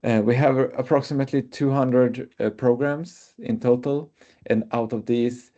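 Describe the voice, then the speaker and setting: A man's voice: medium pitch, fairly even intonation, measured pace, warm tone. A man with a Swedish accent, a native Swedish speaker, presenting his university in a webinar with many hesitant filler sounds. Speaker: university representative. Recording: muffled webinar audio.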